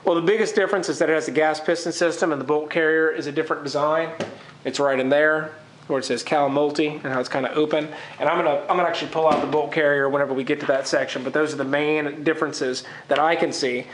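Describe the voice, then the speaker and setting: The recording is noticeably roomy and echoey. A man with a fairly high voice, congested from a cold, talks through an informal video review. He explains things in technical detail. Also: fairly even intonation, quick pace, a little hiss or hum. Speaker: gun reviewer. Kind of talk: informal product review to camera.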